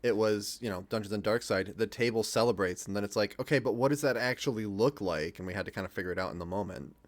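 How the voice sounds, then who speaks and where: Low-voiced man chatting casually. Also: fast talker. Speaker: podcast commentator on an audio drama. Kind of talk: casual commentary chat.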